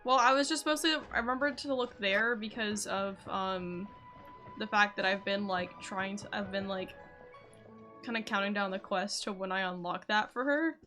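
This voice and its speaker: A woman's voice: medium pitch, normal speed, bright, forceful tone, faint music behind. A woman livestreamer chatting casually as she plays, rambling informally to herself and her viewers. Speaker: game livestreamer. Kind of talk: casual chat while playing.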